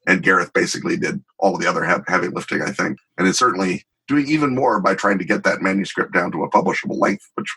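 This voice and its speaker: A man's voice: sits low, fast, hardly any pauses, pushed and bright, breathy edge. A middle-aged man talking as an interview guest, explaining in an emphatic, wry, conversational way with digressive examples. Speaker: interview guest, tabletop game designer.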